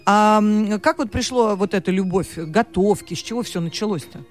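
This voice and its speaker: A woman's voice: mid-range voice, normal speed, soft background music. A woman hosting a morning radio talk show in the studio, chatty and gushing, with many fillers and laughs. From post-Soviet country. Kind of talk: lively morning radio chat.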